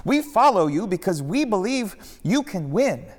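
A man's voice: high-pitched, big pitch swings, a little slow, long reverb tail, pitched above the performer's own voice, exaggerated voice. A man voicing Peter, an anxious male disciple who sputters and insists, in a storybook reading. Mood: pleading.

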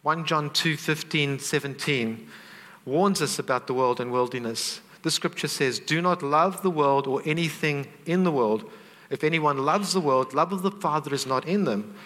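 A man preaching in a reverberant church hall, his voice sitting a little high. He talks earnestly and conversationally and turns to the congregation with asides. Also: moderate pace, fairly bright tone. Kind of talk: sermon.

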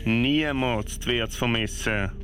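A man's voice: medium pitch, takes it slowly, fairly bright tone, a little hiss or hum. A man acting a gloomy, sulky ghost who dismisses everything in a children's radio play. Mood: grumbling, dismissive. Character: gloomy, grumbling ghost of a cancelled scout camp.

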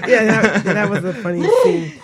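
A woman's voice: mid-range voice, animated, moderate pace, a loud crowd. Laughing, chatty woman radio host. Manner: laughs easily, hesitant with many fillers. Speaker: radio talk show host. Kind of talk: casual talk radio chat.

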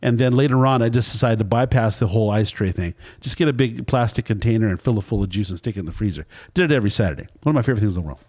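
A man's voice: on the low side, lively intonation, racing, few pauses, a little mellow. A man in his fifties hosting a casual food podcast, telling long, wandering anecdotes. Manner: rambling storyteller, wandering anecdotes. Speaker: podcast host. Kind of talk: casual interview chat.

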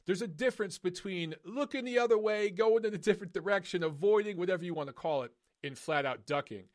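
A man hosting a live boxing call-in podcast, emphatic and opinionated, his voice going hoarse. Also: sits high, fast talker. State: losing his voice.

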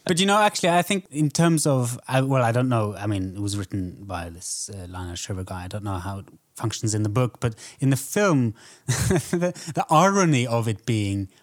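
A man's voice: mid-range voice, lively intonation, normal speed, few pauses. Man, film podcast co-host, casual discussion, thoughtful, hesitant phrasing, mid-range voice.

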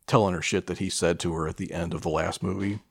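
A man's voice: sits low, fairly even intonation, fast, gravelly. A man chatting casually as a podcast co-host, retelling a story in a profane, irreverent, rambling way. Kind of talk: casual chat, retelling a film plot.

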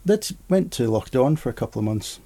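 A man's voice: mid-range voice, expressive, fairly quick, dark tone. A middle-aged man, an interview guest chatting in an easygoing, rambling way. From Scotland. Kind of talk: casual conversation.

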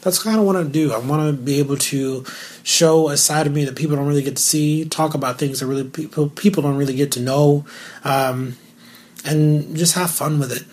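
A man with a naturally raspy voice, hosting his own talk show alone, talking candidly and cracking asides. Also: fairly high pitch, steady tone, fairly quick, darkish tone. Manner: candid and joking, with asides and filler words. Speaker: podcast host. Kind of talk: solo casual talk show.

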